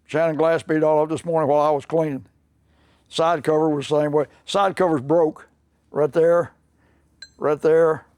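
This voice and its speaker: A man's voice: fairly high pitch, darkish tone, no echo, studio quality. An older man with a Southern US accent, a mechanic explaining a restoration job in a plainspoken, folksy way. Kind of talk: unscripted workshop explanation. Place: workshop.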